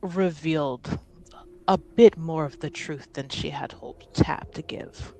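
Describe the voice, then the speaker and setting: A woman voicing a weary, formal noblewoman in a tabletop game, with a measured, guarded and exasperated manner. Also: sits low, darkish tone, poor audio. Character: weary, formal noblewoman acting for her house.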